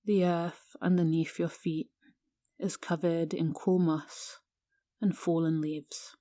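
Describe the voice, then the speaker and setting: A woman guiding a meditation in a hushed, soothing, measured manner with long pauses. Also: fairly low pitch, level tone, no echo, clean studio sound. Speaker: yoga teacher guiding a meditation. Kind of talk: guided meditation narration.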